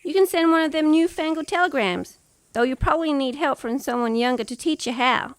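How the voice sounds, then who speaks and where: A woman's voice: high-pitched, animated, a little fast, no echo. A woman playing a sardonic, practical, teasing frontier woman in a western radio serial. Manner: wry, teasing.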